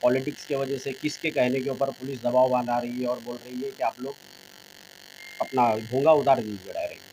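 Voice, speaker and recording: A man's voice: medium pitch, steady tone, fairly quick, mellow, slight background noise, poor audio. A man with a Mumbai Hindi accent giving an interview statement, appealing insistently and repeating his points. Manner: insistent, repetitive. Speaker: local mosque representative being interviewed.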